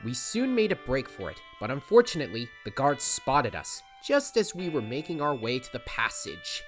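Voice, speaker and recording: A woman's voice: rumbling low, sing-song, normal speed, over music. A woman voicing a plucky, brash and loyal young male raccoon adventurer in an audio drama. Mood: recounting.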